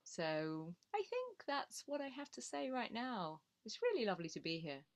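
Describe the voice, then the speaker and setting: A woman's voice: fairly high pitch, sing-song, normal speed, slight background noise. A woman giving an informal introduction on an online panel, reflective and earnest, feeling her way through her thoughts. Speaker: panelist, clown and laughter yoga facilitator. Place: online video call.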